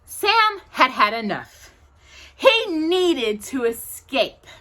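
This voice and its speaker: A woman hosting a children's storytime, reading a picture book with playful, drawn-out emphasis and chatty asides to a companion. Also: high voice, very animated, pushed and bright. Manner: addresses a companion directly while reading.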